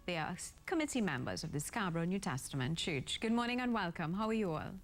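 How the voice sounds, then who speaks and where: A woman's voice: on the low side, sing-song, quick pace. A woman hosting a morning broadcast interview. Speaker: morning show host. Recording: clear background noise.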